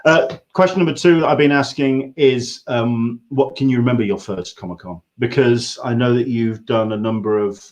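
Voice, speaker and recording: A man's voice: mid-range voice, moderate pace, few pauses, darkish tone. A man with an English accent hosting a casual interview, telling anecdotes in a rambling, conversational way. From England. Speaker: host interviewer. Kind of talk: casual livestream interview chat.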